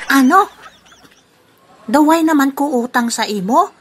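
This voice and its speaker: A woman's voice: high voice, animated, measured pace, a bit bright. A woman with a Western Visayan Filipino accent playing a gluttonous, grumbling male freeloader in a comic audio drama. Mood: indignant.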